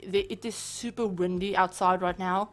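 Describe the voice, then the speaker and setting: A woman hosting a gaming channel, talking casually over her gameplay with a hoarse, raspy voice, chatty and apologetic, full of fillers. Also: on the low side, steady tone, moderate pace. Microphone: home voice-over mic.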